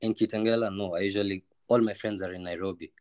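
A man with a Kenyan accent speaks as a guest in casual chat. His voice is low, and the sound is very dry. He is informal and hesitates as he looks for words. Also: steady tone, quick pace, darkish tone, no echo. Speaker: podcast guest.

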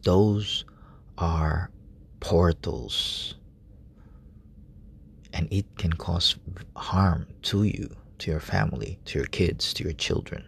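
Man, lay preacher, hushed informal sermon, slow delivery, gravelly fry, faint background hiss. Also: low-pitched, expressive. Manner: hushed, halting, many fillers. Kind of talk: informal late-night sermon. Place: room in a relative's house at night.

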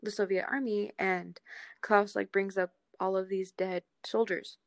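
A woman's voice: medium pitch, level tone, a little slow, vocal fry, no room sound. Informal woman podcaster, dry close sound. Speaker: podcast co-host. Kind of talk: casual chat about a TV series.

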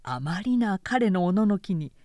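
A woman narrates a historical novel in a measured, dramatic way. Her pitch moves freely and expressively, and the sound is dry, with no room around it. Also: no room sound. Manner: clear, measured, expressive storytelling delivery.

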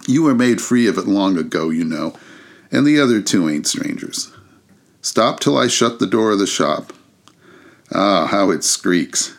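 A man doing a gravelly old rascal shopkeeper. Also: on the low side, animated, a little slow. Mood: sly. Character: gray-haired old male rag-and-bone dealer, sly rascal.

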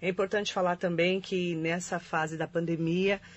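A woman interviewing a guest, with a slightly husky voice. She keeps the exchange chatty and often completes his sentences for him. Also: lowish voice, level tone, moderate pace, dull audio. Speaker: interviewer, host. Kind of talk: interview hosting.

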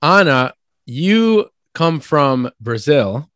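A man hosting a podcast, with upbeat, energetic intros and curious questions for his guest. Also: on the high side, drawn-out words, dry recording, clean studio sound. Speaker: podcast host. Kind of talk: casual interview chat.

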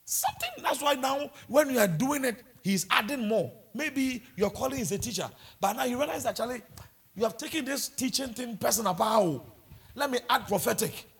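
A man's voice: very high voice, expressive, normal speed, vocal fry, husky. A man from Ghana with a Ghanaian accent, preaching a sermon and mixing in humorous asides. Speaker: pastor.

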